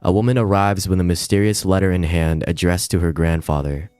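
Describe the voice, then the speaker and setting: A man with a low, smooth voice streams a game in a casual, slangy, somewhat hesitant manner. The sound is close and dry, with music playing underneath. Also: steady tone, brisk, a little mellow, no echo. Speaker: livestreamer. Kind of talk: casual livestream chat, reading game text aloud.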